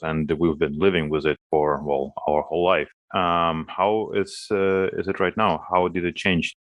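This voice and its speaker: A man's voice: deep voice, fairly bright tone, no room sound. A young man from Ukraine with a Russian-speaking Ukrainian accent, chatting informally in English with many fillers. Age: young adult. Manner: informal, uses fillers like "like" and "well". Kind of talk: casual conversation.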